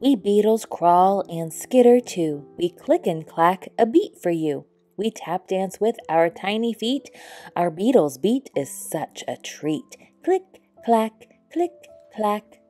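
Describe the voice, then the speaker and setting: A tiny, merry beetle read by a woman in a children's storybook. The rhyme is measured and rhythmic, in a soft mellow tone over music. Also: mid-range voice, expressive. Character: busy, merry tiny tap-dancing beetles. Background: background music.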